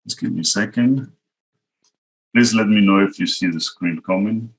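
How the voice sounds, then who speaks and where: A man's voice: lowish voice, moderate pace, poor audio. A man from El Salvador with a Central American Spanish accent, telling his career story as a guest speaker in a conversational way, speaking directly to listeners. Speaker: guest speaker, product manager. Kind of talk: informal career talk, autobiographical storytelling. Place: online virtual event.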